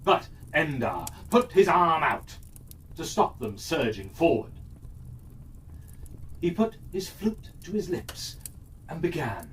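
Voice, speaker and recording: A man narrating a scripted children's fairytale as a storyteller, expressive and deliberate. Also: a little high. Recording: distant mic with faint background noise.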